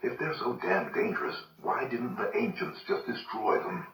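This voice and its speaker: An actor playing a weary, tough hero haunted by his dead brother, talking gruff and impatient. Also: mid-range voice, animated, moderate pace, a bit bright, close to an everyday voice. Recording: echoey, distant game audio, noisy.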